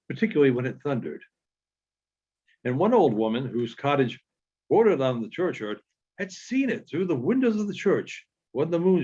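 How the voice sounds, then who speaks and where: A man with a husky, slightly high voice reads literary prose aloud in a dull, muffled recording. His delivery is measured and a little halting, phrase by phrase, with a lively rise and fall. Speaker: audiobook reader. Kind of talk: literary narration read aloud.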